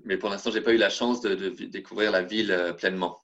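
Low-voiced hesitant Frenchman, dull sound. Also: steady tone, fast talker, a bit bright. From France. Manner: hesitant, many restarts. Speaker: interview guest. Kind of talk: casual interview talk.

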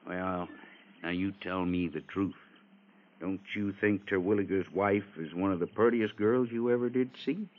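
A man's voice: low-pitched, normal speed, close to an everyday voice. Blustery small-town American police chief, played by a man. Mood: sly, folksy. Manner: folksy, insistent, gossipy. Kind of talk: mid-century American radio drama acting. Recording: tinny, narrow-band old radio recording.

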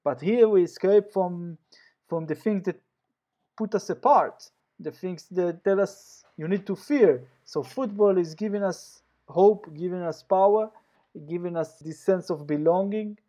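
A man's voice: sits high, unhurried, warm tone, muffled recording. A man with an Israeli accent, a sports journalist answering interview questions passionately, with frequent restarts and fillers.

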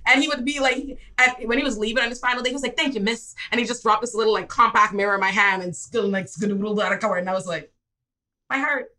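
A Bahamian woman in her twenties, a public defender telling stories in a casual podcast chat, her voice leaping high when she acts out scenes. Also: fast talker. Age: 20s. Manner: lively, swings into high excited re-enactments. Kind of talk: animated storytelling in casual chat.

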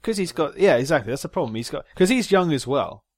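A man's voice: on the high side, animated, quick pace, dry recording, clean studio sound. A man co-hosting a casual podcast about motor racing, joking and laughing often. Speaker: podcast co-host.

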